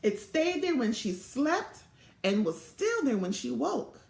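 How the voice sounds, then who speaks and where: A middle-aged woman reads a children's storybook with expressive care. Her voice sits high and swings widely in pitch. The room adds a noticeable echo. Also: slow pace, creaky, background noise. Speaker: volunteer storybook reader. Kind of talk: read-aloud children's storybook narration. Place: small indoor room or home office. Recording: roomy, echoing home webcam recording.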